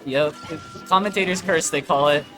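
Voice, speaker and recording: A man doing casual live play-by-play as an esports commentator, slangy and excitable. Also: fairly high pitch, normal speed. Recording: game music behind the voice.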